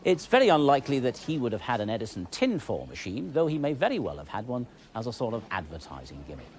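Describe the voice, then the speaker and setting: A British man explains things as a documentary presenter. His intonation is very lively, rising and falling widely, over a faint noise in an older broadcast recording. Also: mid-range voice, fast. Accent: British English. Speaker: television presenter.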